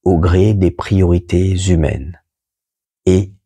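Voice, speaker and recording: A man hosting an audio show, reading a poetic first-person text slowly and adding small spoken asides. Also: low voice, mellow, husky. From France. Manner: slow, measured reading with asides. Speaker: podcast host.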